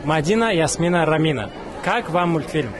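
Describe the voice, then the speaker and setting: A man's voice: fairly high pitch, expressive, normal speed. A man hosting a vlog, cheerfully greeting viewers and interviewing people at a film screening. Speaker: vlog host reporting from a cartoon screening. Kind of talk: upbeat on-the-street reporting and interviews. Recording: crowd noise all around. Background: crowd chatter.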